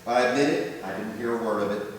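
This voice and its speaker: A man's voice: on the low side, fast, close to an everyday voice. A man playing a loving, emotional father of grown sons in a church readers theater monologue, speaking in a heartfelt, reflective way. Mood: joyful. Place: large church room, live before congregation. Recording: very echoey church hall, distant, faint noise.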